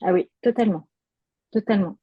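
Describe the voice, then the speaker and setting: A woman's voice: mid-range voice, brisk, husky, dry recording, narrow, tinny audio. A woman guest giving a personal testimony in conversation, hesitant, with many fillers and restarts.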